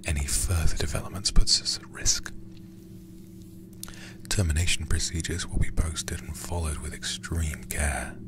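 A man streaming in a hushed ASMR style, reading horror game text deliberately into a close microphone. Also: rumbling low, fairly even intonation.

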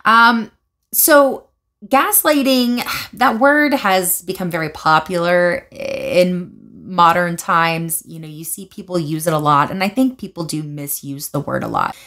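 A young woman with a slightly low voice speaks to camera from a couch at home. She explains her views in an animated way, gesturing as she talks and pausing on fillers and restarts. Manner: thoughtful with frequent fillers and restarts. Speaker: video essayist. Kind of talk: casual commentary vlog. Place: living room, seated on a couch indoors.

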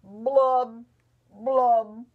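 A woman voicing a gloomy male fish who pouts, in a slow, drawn-out, mournful sing-song. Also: on the high side, fairly even intonation, phone-quality sound. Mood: gloomy chant.

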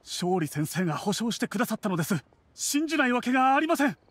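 A man's voice: sits high, big pitch swings, quick pace, fairly bright tone, no echo, exaggerated voice. An actor playing an eager merchant man in an anime game, very polite and deferential. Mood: flustered, apologetic. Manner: obsequious, very polite. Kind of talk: Japanese anime game voice acting.

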